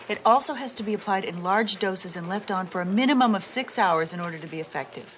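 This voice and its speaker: An actress playing a calm, level-headed female police partner who speaks in a matter-of-fact way. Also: medium pitch, quick pace, slight background noise, natural voice.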